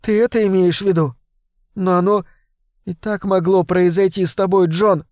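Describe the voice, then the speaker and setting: A tearful woman pleads down the phone line, her voice chesty and husky, broken by sobs, put on by a man reading the story. The voice sits well above his normal register. The recording is dry and close. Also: high-pitched, steady tone, normal speed, a little mellow, no echo, pitched above the performer's own voice, lightly acted. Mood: pleading tearful. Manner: sobbing, pleading.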